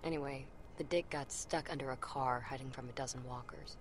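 A woman's voice: a bass voice, fairly even intonation, a little fast, over music. An actress playing a hardened, jaded young woman survivor in a game, speaking in a blunt, wry, understated way. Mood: somber, wry. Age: young adult. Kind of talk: naturalistic game acting.

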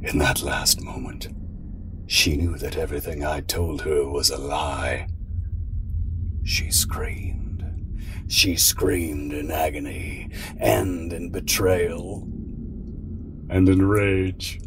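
An actor playing a guilt-ridden, self-excusing arsonist in an audio drama monologue, brooding and halting. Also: male voice, very deep voice, fairly even intonation, noisy background, heavily acted. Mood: gloating, sinister.

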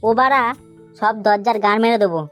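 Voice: mid-range voice, fairly bright tone, soft background music, close to an everyday voice. A performer voicing a brash, foul-mouthed young male village gangster in a comic cartoon, swaggering and coarse. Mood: angry, threatening. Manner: swaggering, coarse, quick to threaten. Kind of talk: comic cartoon dubbing in colloquial Bengali.

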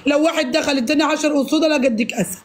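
A woman with an Egyptian Arabic accent, hoarse, trading sassy retorts as a guest on a live stream. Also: high voice, steady tone, very fast. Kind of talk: bickering live-stream chat.

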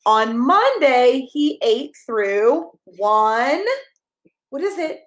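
A woman in her thirties reading a picture book aloud to a toddler, expressive and encouraging, coaxing the child along with questions. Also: high voice, extremely slow, roomy sound. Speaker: pastor reading a children's story. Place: small carpeted room at home, indoors. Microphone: camera a step or two away.

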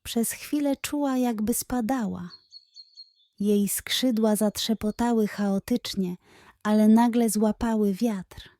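Female narrator for children's bedtime tale. Unhurried delivery, higher register, close dry recording. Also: dark tone, no room sound. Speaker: storyteller.

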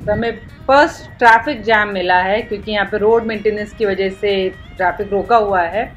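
A woman's voice: mid-range voice, brisk, faint music behind. A woman vlogging from a car on a road trip, talking casually and mixing in English phrases. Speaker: travel vlogger. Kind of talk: road trip vlog commentary. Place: inside a car on a rough mountain road.

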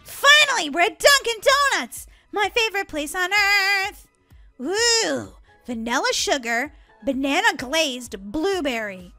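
A woman voicing excitable, dramatic young sisters in a gaming roleplay skit. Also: high voice, slow, faint music behind, exaggerated voice. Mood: excited. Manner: dramatic, whiny, exclaiming. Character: excitable, dramatic girl gamer and her bickering sister.

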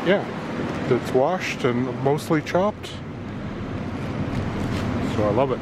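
Guy doing a casual shopping vlog, regular pitch, really loud warehouse noise behind him. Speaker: vlogger. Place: large indoor warehouse store. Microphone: handheld camera microphone. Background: loud store ambience.

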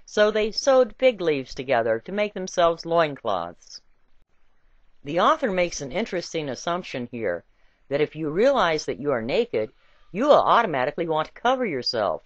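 A woman reading a scripted essay with quotations in a deliberate, emphatic and wry storytelling way. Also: low voice, sing-song, dry recording, dull audio. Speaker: reader.

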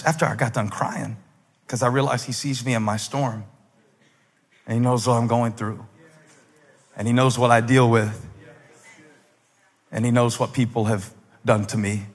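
A man in his forties preaching a sermon on a church stage into a handheld mic, telling a story and then building to loud, fervent emphasis. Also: mid-range voice. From United States. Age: 40s. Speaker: pastor. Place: large stage in a church hall. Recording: long hall reverb.

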